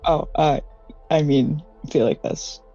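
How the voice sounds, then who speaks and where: A woman's voice: low-pitched, a little slow, mellow, slightly rough. A woman improvising casual roleplay chat as a tabletop game player, with a wry, informal, conversational manner. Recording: slightly dull audio, music behind. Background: background music.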